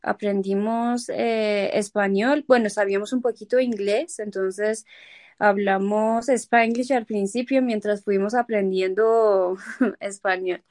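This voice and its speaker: A woman's voice: mid-range voice, steady tone, takes it slowly, darkish tone, no room sound, studio quality. A woman from Iran whose first language is Persian, a guest in a casual interview, talking hesitantly with many fillers and small laughs.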